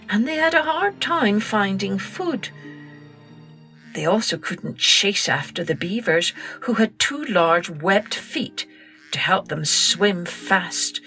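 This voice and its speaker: Slow, expressive woman storyteller. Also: medium pitch. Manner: expressive, gentle, slow and clear. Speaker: podcast host and storyteller. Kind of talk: storytelling for young children.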